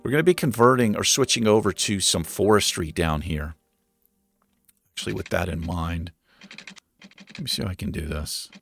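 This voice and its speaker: A man casually commenting on his city-building game while he plays, thinking aloud with lots of fillers, his voice going hoarse. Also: low-pitched, normal speed, long pauses, soft background music. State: losing his voice. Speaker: gaming channel host.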